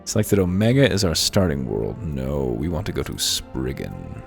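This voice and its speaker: This is a man whose voice sits extremely low, with a gravelly fry. He commentates a game with a wry, offhand tone and reads its text aloud, his pitch swinging animatedly. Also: very animated, moderate pace. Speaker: let's play host. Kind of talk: casual gameplay commentary. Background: faint game music.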